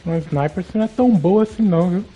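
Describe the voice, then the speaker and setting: A Brazilian man chats casually while streaming a game. His voice is dark and mellow, with faint music behind it. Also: high-pitched, very fast, highs cut off. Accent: Brazilian Portuguese. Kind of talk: casual live gameplay commentary.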